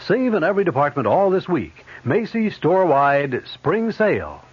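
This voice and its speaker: A man's voice: on the high side, big pitch swings, moderate pace, husky. A man working as a radio station announcer, reading scripted advertisements and programme promos. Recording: dull old radio broadcast.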